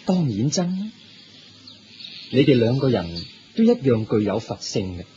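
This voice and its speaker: An actor with a Hong Kong Cantonese accent playing the Buddha as a serene, kindly teacher in a radio drama, speaking in a measured, reassuring way. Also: male voice, mid-range voice, big pitch swings, lightly acted. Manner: measured, instructive, gently reassuring. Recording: muffled lo-fi radio recording, faint music.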